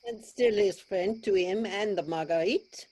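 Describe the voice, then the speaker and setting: Woman as a slow, stately French king. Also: mid-range voice, a little mellow, husky. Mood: ceremonious. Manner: measured, formal, regal delivery. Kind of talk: staged reading of Shakespearean verse drama. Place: remote video call.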